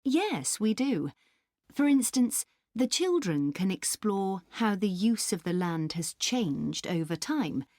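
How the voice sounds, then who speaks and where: Slow, lively, helpful park guide woman. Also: mid-range voice, dry recording, clean studio sound. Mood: helpful, informative. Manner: clear explaining, helpful, deliberate. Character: helpful, informative female country park staff member. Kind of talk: scripted listening-test dialogue.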